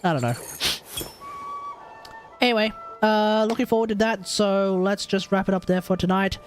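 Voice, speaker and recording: High-pitched man rambling on a livestream. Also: moderate pace, over music. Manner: rambling, searching for words, casually sweary. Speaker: game streamer. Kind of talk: casual livestream chat.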